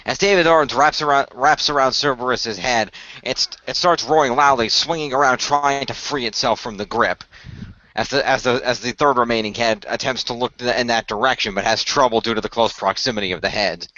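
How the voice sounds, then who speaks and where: A man with a bright, forceful voice narrates a fantasy adventure as game master. He improvises haltingly and repeats phrases. The recording is dry, close and slightly dull. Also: medium pitch, dry recording. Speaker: game master, co-host of a fan radio play.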